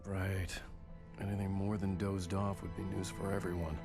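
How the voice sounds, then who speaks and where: A man's voice: low-pitched, little pitch movement, moderate pace, creaky, music in the background. A man with an American accent acting a weary, troubled writer in a game, dry and guarded. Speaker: protagonist and narrator. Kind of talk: cinematic game acting and brooding narration.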